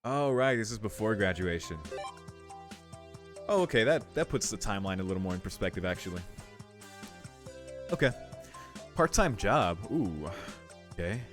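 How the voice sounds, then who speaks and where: A young man with a fairly low voice commentates on a game as he plays. He muses informally, thinking aloud between passages of text he reads out, with faint music in the background. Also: very animated, moderate pace, long pauses. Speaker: video game streamer. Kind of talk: casual playthrough commentary mixed with reading game text aloud.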